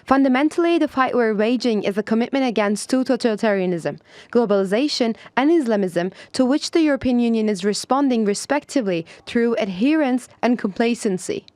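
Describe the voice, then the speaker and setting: A woman politician giving a speech in a forceful, declamatory way. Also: a little high, expressive, a little fast, no echo.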